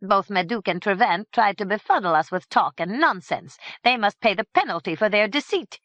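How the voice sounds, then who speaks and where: A woman voicing a small, eager, fawning male servant in a storybook reading, quick and servile. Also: medium pitch, expressive, fairly bright tone, dry recording, voice pushed up, natural voice. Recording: dull dry lo-fi recording.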